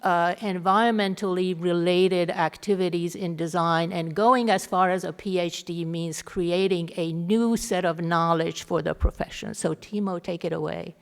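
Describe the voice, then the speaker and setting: Woman moderator with halting delivery. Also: on the low side, fairly even intonation, smooth. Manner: halting, many fillers. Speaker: panel moderator. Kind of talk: moderating a panel. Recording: reverberant hall.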